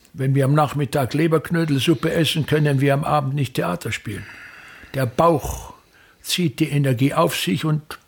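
An actor playing a disdainful, self-pitying middle-aged touring theatre man in a radio play, declaiming and complaining grandly with a gravelly voice. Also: mid-range voice. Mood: demanding. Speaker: actor playing a travelling playwright-actor. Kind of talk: theatrical radio drama monologue.

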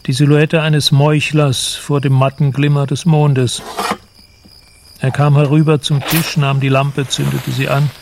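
Gravelly male narrator, solemn and literary. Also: fairly high pitch, darkish tone, slight background noise. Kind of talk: scripted literary narration in a radio play.

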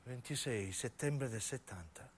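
A man's voice: medium pitch, animated, unhurried, slight background noise. A man with a southern Italian accent performing a theatrical radio monologue, dramatic and shifting from intimate narration to acted dialogue. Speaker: stage actor and narrator.

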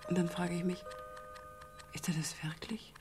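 An actress playing a polite, grieving young woman in a radio crime drama, speaking softly and wistfully. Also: on the low side, level tone, normal speed, background noise, natural voice. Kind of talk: 1970s German radio crime drama acting.

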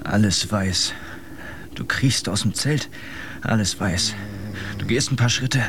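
A man's voice: takes it slowly, noisy background. A man playing a worn-down, hungry sailor near despair in a radio drama. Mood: weary, desperate. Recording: clear rumbling ambience behind voice.

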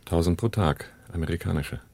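An actor playing a cool, cautious arms smuggler on the run in a radio drama, with clipped, controlled delivery. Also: male voice, low voice, fairly quick, vocal fry, a little mellow, no echo. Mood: businesslike, guarded. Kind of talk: German radio drama dialogue and first-person narration.

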